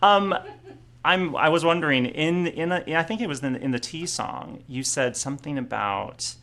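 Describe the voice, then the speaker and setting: Gravelly, bright-voiced male interviewer. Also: fairly high pitch, expressive, moderate pace. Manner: chatty, teasing, loose questioning. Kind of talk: casual interview chat. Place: studio, live streamed interview.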